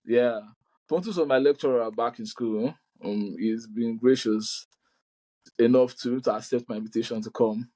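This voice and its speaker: A man's voice: mid-range voice, moderate pace, warm tone. A man hosting a casual podcast over a video call, talking loosely with many fillers and restarts. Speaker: podcast host.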